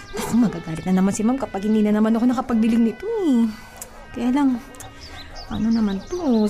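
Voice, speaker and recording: Woman acting a playful young housemaid, high voice, very animated swoops, Filipino accent, teasing radio-drama delivery. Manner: teasing, exclaiming, talking to herself.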